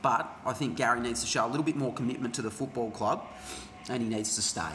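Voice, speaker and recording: A man's voice: medium pitch, fast talker, a bit bright. An Australian man co-hosting a sports podcast with casual banter, phlegmy from a cold. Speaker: podcast co-host. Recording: roomy echo, faint background noise.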